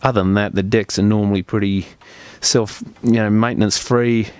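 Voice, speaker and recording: A man with a fairly low, smooth and clear voice talks through a hands-on repair job. He explains things step by step in plain, practical terms, unscripted, and the sound is close and dry. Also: steady tone, moderate pace, dry recording. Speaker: repair technician presenting a tutorial.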